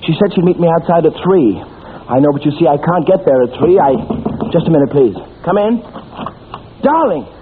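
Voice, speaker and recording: A man's voice: a little high, animated, normal speed, lightly acted. A man acting a lovestruck, eager young army officer in a 1940s radio play, pleading and easily flustered. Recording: tinny, noisy old radio recording.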